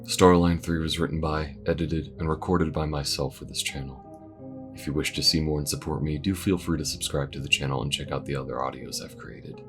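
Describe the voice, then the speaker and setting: Very deep-voiced man reading credits. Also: steady tone, a little fast, over music. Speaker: voice actor and channel creator. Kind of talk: scripted outro with credits and thanks.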